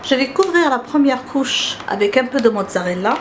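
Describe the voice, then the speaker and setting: A fairly high-pitched woman with an Algerian accent explains a recipe one step at a time, and Arabic is her first language. She talks in a gentle, teaching way and often pauses on filler sounds. A faint hiss of noise sits under her voice. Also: lively intonation. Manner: hesitant, frequent filler sounds. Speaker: cooking channel host.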